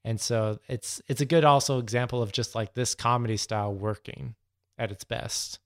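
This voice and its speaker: A man's voice: mid-range voice, steady tone, normal speed, a bit bright. An American man co-hosting a casual film discussion, feeling his way through sentences in short, padded phrases. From San Diego, United States. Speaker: podcast co-host.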